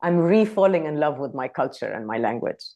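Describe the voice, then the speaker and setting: A woman from Lebanon who grew up speaking Arabic, talking as a podcast guest in a reflective, soft-spoken way. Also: sits low, sing-song, brisk. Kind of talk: casual conversational interview.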